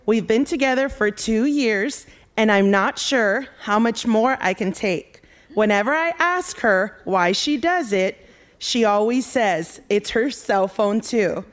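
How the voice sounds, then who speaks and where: Woman audience member reading out a question, really slow, a bit high pitched. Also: animated. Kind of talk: reading aloud. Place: theater with live audience.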